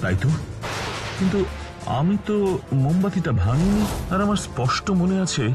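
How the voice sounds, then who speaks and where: Music plays clearly behind a fretful middle-aged gentleman from a cartoon. His voice sits fairly high and swings widely. He is theatrical, exclaiming and repeating himself as he frets. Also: lively intonation, moderate pace. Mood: earnest, puzzled. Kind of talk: cartoon voice acting.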